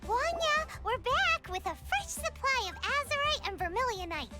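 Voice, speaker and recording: A woman voicing a tiny, perky, childlike companion in an anime video game, with a squeaky voice and an eager manner. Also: sing-song, moderate pace, bright, forceful tone, heavily acted. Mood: cheerful greeting. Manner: refers to self in third person, eager. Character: tiny childlike floating companion girl, perky and greedy for food. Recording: studio voice over game music. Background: background game music.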